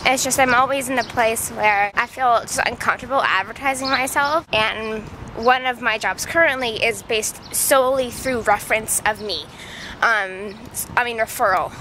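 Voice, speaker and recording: A woman's voice: a little high, normal speed, poor audio. A woman with a congested, stuffy voice from a cold, chatting casually and animatedly into a handheld camera as she walks along city streets. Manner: chatty, animated storytelling with acted-out quotes. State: has a cold. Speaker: video creator. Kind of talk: casual rambling walk-and-talk vlog. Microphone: handheld camera held close while walking. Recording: outdoor street noise with passing traffic.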